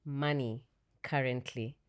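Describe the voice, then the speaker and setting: A woman with a notably deep voice hosting a health podcast in a chatty, encouraging way. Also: fairly even intonation, slow, smooth, no echo, studio quality. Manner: chatty, encouraging, many backchannels. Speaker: podcast host. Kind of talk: casual interview conversation.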